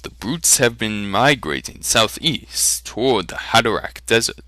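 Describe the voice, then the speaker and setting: A man voicing a grim traveling merchant in a storybook, gravely delivering ominous news. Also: lowish voice, expressive, measured pace, bright, forceful tone, no room sound. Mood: grim, foreboding.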